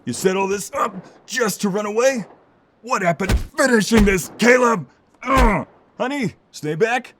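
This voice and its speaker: An actor playing a devoted, protective mobster husband, earnest and intense, with a husky voice in a dramatic audio role-play. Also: male voice, sits high, lively intonation, a bit bright, a little hiss or hum. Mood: desperate shouting. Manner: slow, intense, emotional pleading.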